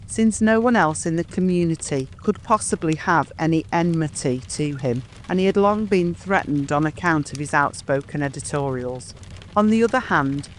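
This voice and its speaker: Casting: male audiobook narrator, slightly high voice, measured literary storytelling, ambient noise behind him. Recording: clear background ambience noise.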